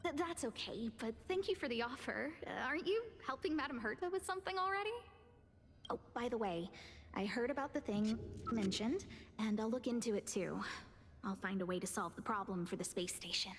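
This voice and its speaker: A woman's voice: a little high, expressive, a little fast, slight background noise. An actress playing a composed, concerned young woman in charge of a space station, polite and articulate, in a game cutscene. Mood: friendly, determined. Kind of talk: scripted game cutscene acting. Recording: long reverb, music under the voice.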